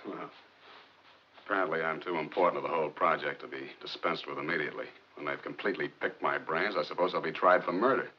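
An actor playing a brooding, determined scientist in a 1950s film drama, with clipped, earnest, sardonic delivery. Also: male voice, rumbling low, fast talker, a little hiss or hum. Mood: bitter, resigned. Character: brooding, determined male rocket scientist accused of murder. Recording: muffled 1950s film soundtrack.

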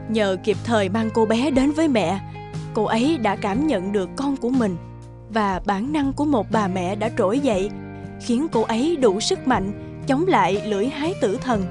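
A woman voicing a caring, gentle, earnest hospital figure in a cartoon. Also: fairly high pitch, lightly acted. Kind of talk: dubbed cartoon dialogue. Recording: music behind the voice. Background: music.

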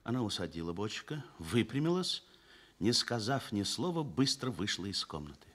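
A man narrating a Soviet radio play in an expressive, literary storytelling manner. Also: on the low side, normal speed.

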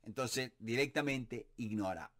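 A man in his forties with a Córdoba Argentine accent, answering viewer questions to camera in a home office in an animated, encouraging way, full of fillers. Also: medium pitch, unhurried. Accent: Córdoba Argentine Spanish. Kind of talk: casual Q&A to camera. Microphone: webcam or camera at desk, close framing.

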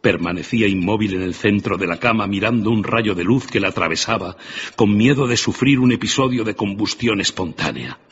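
A man's voice: on the low side, brisk, dull audio, a little hiss or hum. A man narrating a classic tale as a dramatic scripted radio story. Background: music.

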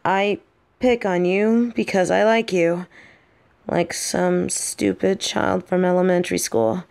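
A woman playing a possessive, brash but secretly smitten bully girl in an audio roleplay, speaking straight to the listener in a bossy, halting way. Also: lowish voice, fairly even intonation, vocal fry, darkish tone, clean tone. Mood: awkward, confessing. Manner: bossy, taunting, flustered halting delivery.

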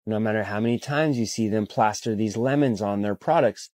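Male short-video creator, 30s, mellow and dry, assertive. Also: lowish voice, fairly quick, no echo. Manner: assertive, warning. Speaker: social media creator. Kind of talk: commentary.

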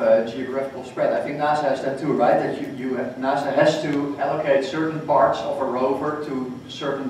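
A man speaking on a conference panel, halting with frequent restarts. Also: medium pitch, darkish tone, a little hiss or hum. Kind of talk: panel discussion. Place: hotel conference room, panel table. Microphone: table mic, recorded from far off. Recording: echoey hall recorded from far off.

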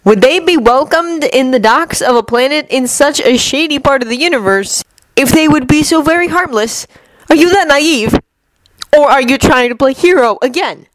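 A woman's voice: sits high, animated, normal speed, pitched above the performer's own voice, poor audio. A woman reading a fan fiction audiobook voices a cold, scornful male schemer who talks in a menacing, persuasive way. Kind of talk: audiobook character voice.

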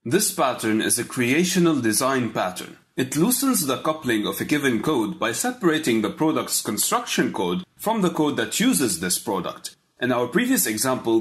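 A man narrates a programming lesson in a scripted voice-over. He walks through the code step by step in a measured, explanatory way. His pitch rises and falls in a lively way.

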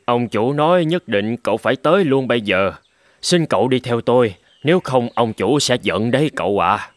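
A man's voice: medium pitch, lively intonation, normal speed, no room sound, close to an everyday voice. A man voicing a humble, deferential old servant in a cartoon, speaking politely and respectfully. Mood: polite, urging. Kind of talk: dubbed cartoon storytelling. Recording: loud music under the voice.

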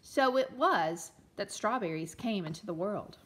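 A woman reading a storybook aloud to young children as a teacher, expressively, pausing to explain and ask questions. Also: medium pitch, lively intonation. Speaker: elementary teacher reading a picture book aloud.

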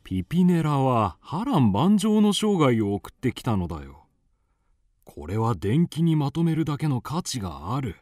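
An actor playing a kindly, learned doctor with an old-fashioned, composed manner in a radio drama. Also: male voice, medium pitch, big pitch swings, normal speed, darkish tone, dry recording, clean studio sound, natural voice. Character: kindly, learned male doctor recording an animal's biography. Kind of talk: Japanese radio drama acting.

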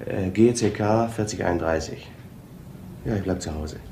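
An actor playing a stubborn, quietly wry investigator with a low-key, hesitant manner in a radio crime drama. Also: male voice, moderate pace, reverberant, very noisy. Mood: uneasy. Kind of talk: German crime radio play acting.

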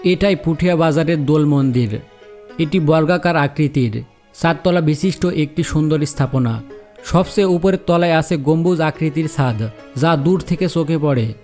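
A man narrating a travel vlog in steady, descriptive scripted voice-over. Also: on the high side, moderate pace, faint music behind.

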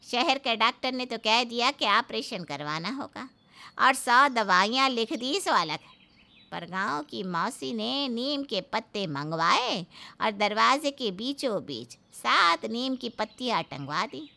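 Woman doing a high-voiced grumbling old village aunt. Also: very animated, unhurried, gravelly, bright, forceful tone, heavily acted. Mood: grumbling, nostalgic. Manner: grumbling, folksy. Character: opinionated elderly village aunt, grumbling yet kindly.

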